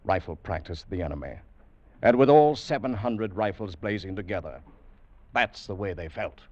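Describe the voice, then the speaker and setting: Deep-voiced radio drama narrator man. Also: lively intonation, a little mellow, dry recording. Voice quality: measured, dramatic. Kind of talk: scripted narration, 1940s radio. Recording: dull old radio broadcast with faint hiss.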